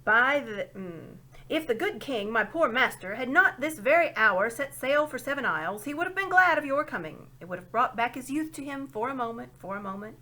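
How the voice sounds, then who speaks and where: A woman voicing a pompous, hard-of-hearing elderly dwarf lord in a storybook, booming and emphatic. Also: animated, moderate pace, sharp tone, heavily acted. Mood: courtly, booming. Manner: booming, emphatic, repeats himself, querying "eh?".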